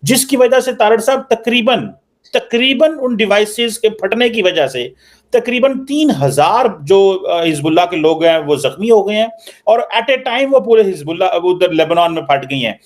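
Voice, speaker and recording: A man's voice: high voice, expressive, normal speed. A man with a Pakistani Punjabi accent hosting a political discussion, repeating his phrases as he questions his guest. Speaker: interviewer, show host. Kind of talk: animated political discussion in casual talk.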